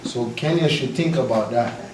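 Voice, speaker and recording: A young man from Jamaica, raised in the United States, poses a measured question from the audience. He is heard from afar in a strongly echoing hall, with faint noise behind. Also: mid-range voice. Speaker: audience member asking a question. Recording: echoey hall, distant mic.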